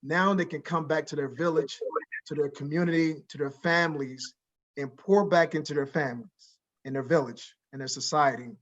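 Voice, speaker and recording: Middle-aged guy from liberia on a panel, kinda high voice, strained from yelling, muffled lo-fi audio, earnest and deliberate. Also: fairly even intonation. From Liberia, West Africa. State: strained voice after weeks of yelling. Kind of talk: reflective panel talk. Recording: dull lo-fi call audio.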